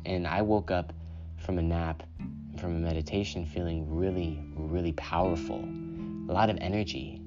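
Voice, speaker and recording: A man hosting a solo self-care podcast, talking in a calm, unhurried way and often saying "you know". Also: deep voice. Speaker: podcast host. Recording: dull lo-fi sound with guitar music.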